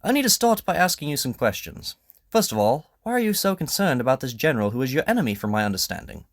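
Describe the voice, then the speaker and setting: A man playing a brisk, confident detective in an audio drama, with a probing, theatrical, declarative manner. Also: medium pitch, very animated, dry recording, studio quality, pitched above the performer's own voice. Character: brisk, confident male detective, incisive and commanding.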